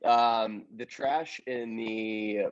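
A man's voice: lowish voice, steady tone, very slow. Man as an eager reporter, slightly muffled. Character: eager, earnest male reporter with trivial stories. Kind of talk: improvised comedy sketch.

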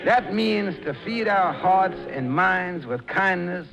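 A gentle, devout father speaks in a high, creaky voice, slowly and reverently explaining to his child. It is old radio drama, dull in sound, with music behind. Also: expressive, exaggerated voice. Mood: reverent, patient. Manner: tender, patient, slow explaining, reverent. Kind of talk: old-time American radio drama acting. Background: choir music.

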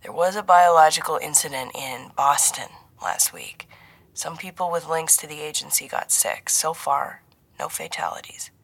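A woman's voice: low voice, normal speed, gravelly, slightly rough, exaggerated voice, poor audio. A woman voicing a male intelligence handler in an audiobook, mild, dry and given to banter. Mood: serious, measured. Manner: bantering, mild, turning businesslike.

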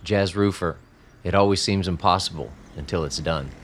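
A man playing a firm, deep-voiced old safehouse keeper. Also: normal speed. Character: Jazz, a shaggy gray-haired man in a wheelchair. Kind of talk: radio play acting.

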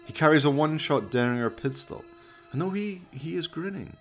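A man's voice: a little high, animated, a little fast, faint music behind. A man narrating a scripted radio play in a dramatic storytelling manner.

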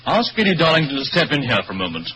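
An actor playing a formal, indignant English lord in an old radio mystery. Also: male voice, a little high, huge pitch swings, racing. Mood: formal, grave. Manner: stiff, proper. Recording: dull, hissy old radio recording.

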